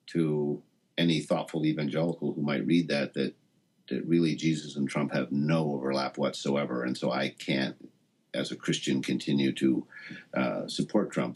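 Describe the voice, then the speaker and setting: A man being interviewed as a guest, speaking reflectively and haltingly, often rephrasing as he searches for words. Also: very deep voice, husky. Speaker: interview guest, writer of a satire piece.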